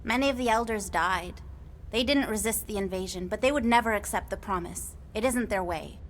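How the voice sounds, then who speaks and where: A woman's voice: mid-range voice, fairly quick, slight background noise, natural voice. An actress playing a quirky, playful female alien mystic in a video game, with a whimsical, sing-song delivery. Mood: solemn. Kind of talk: video game cutscene acting.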